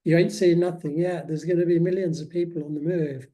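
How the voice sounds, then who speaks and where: A man speaking as an interviewed author, in a reflective, conversational way with measured, hesitant phrasing. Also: a little high, steady tone, dark tone.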